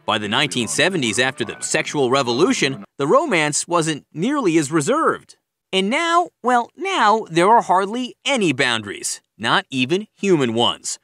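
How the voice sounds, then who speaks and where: A man's voice: a little high, very animated, normal speed, bright, forceful tone, no echo. A man reading a scripted news feature as a reporter, with wry, playful delivery. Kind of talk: scripted narration.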